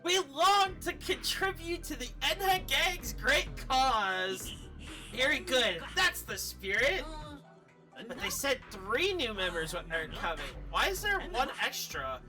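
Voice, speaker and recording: A woman streaming a game, giving expressive, casual commentary and reading dialogue aloud, sometimes swearing. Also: high-pitched, takes it slowly. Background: faint video game music.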